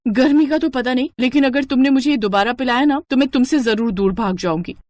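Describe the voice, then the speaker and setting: A woman voicing a playful, fussy young city-bred wife in a cartoon story, with a lively, bratty manner. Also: sits high, fast talker, no room sound, pitched above the performer's own voice, lightly acted. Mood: fussy, teasing.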